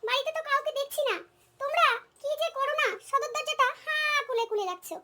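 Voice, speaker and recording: Woman playing a squeaky, chattering young cartoon daughter-in-law. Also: fast talker, sharp tone. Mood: nagging, alarmed. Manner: chattering, pleading, nagging. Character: vain, hot-headed but kind young daughter-in-law. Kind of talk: comic cartoon dialogue.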